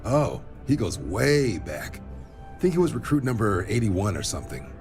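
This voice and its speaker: A wry, easygoing military officer from a video game. His lowish voice moves around freely as he gives casual briefings and recruiting pitches, with faint music behind him. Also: big pitch swings, moderate pace, darkish tone. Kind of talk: video game cutscene acting.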